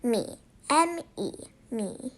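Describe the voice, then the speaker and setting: A female presenter teaches spelling to children. She speaks very slowly in a fairly high voice, with lively pitch, giving words one at a time. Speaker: sight word presenter.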